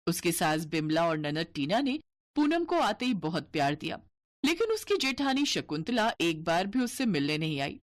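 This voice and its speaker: A woman's voice: fairly low pitch, big pitch swings, moderate pace. A woman narrating a scripted cartoon story as its storyteller.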